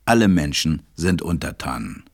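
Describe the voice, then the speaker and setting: A man playing a gentle, reflective storyteller, narrating a storybook radio play in a measured way. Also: extremely low pitch, very animated, exaggerated voice.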